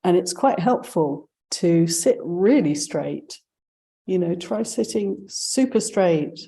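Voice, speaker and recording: A woman leading a guided meditation as a teacher, giving gentle, unhurried instructions with pauses. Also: fairly low pitch, animated, gravelly.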